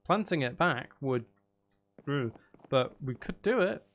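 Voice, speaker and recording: A man's voice: on the high side, lively intonation, slow pace, fairly bright tone. A man giving casual live gameplay commentary, chattily thinking aloud and muttering to himself with mild exasperation. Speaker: gaming streamer. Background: background music.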